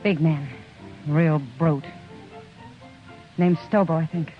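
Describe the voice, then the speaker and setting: A woman's voice: deep voice, takes it slowly. A woman acting a frank, loyal saloon woman in a 1950s western radio drama, direct and earnest in her delivery. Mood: concerned. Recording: dull old radio recording with music.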